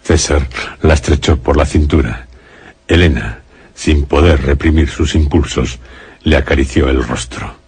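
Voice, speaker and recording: An actor narrating a gothic radio suspense drama in a solemn, literary manner. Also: male voice, very deep voice, a little slow, darkish tone, slightly rough, dry recording, exaggerated voice. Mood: solemn, suspenseful. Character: grave male narrator of a gothic suspense tale.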